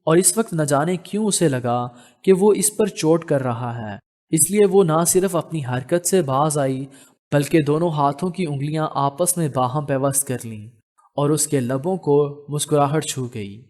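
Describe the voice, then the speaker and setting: Higher-voiced man narrating a romance novel. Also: measured pace, darkish tone. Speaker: audiobook narrator.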